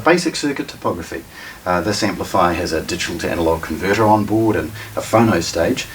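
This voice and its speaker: A low-pitched man talks through a product demonstration, recorded some way from the mic. He is methodical and measured, pausing mid-phrase as he explains things step by step. Faint noise sits behind his voice. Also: recorded from a distance, rough recording. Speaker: hi-fi shop presenter. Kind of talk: unboxing product demonstration, unscripted narration.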